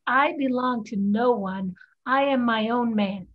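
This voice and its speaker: A woman's voice: on the high side, a little slow. A woman playing a proud, guarded young person living as a boy, terse and firm in stage dialogue. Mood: guarded, defiant. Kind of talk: dramatic dialogue in a play reading. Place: indoors at home on a video call. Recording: dull video-call audio.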